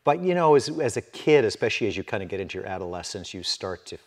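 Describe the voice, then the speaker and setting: A man in his early sixties with a very low, deep voice, chatting at length with many fillers and restarts. He grew up speaking Finnish first and sits at a table in a winery barrel room. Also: big pitch swings, fast talker. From Canada. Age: 60s. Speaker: winemaker guest. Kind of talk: relaxed interview conversation.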